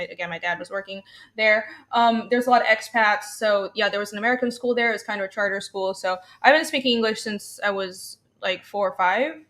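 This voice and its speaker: A young woman from Taiwan chats as a guest on a live stream, her voice sitting a little high. She talks in a bubbly, easygoing way and laughs often between her stories. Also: a bit bright. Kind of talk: casual live-stream chat.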